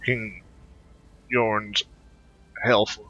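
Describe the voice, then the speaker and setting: A man giving casual, rambling, halting commentary over his video game playthrough. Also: lowish voice, flat delivery, bright, forceful tone. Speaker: gamer recording a playthrough. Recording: dull, close dry microphone.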